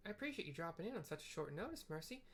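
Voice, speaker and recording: A player voicing a brisk, motherly guild mistress, reading her game lines aloud in a folksy, chatty way. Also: medium pitch, very animated, fairly bright tone, slight background noise.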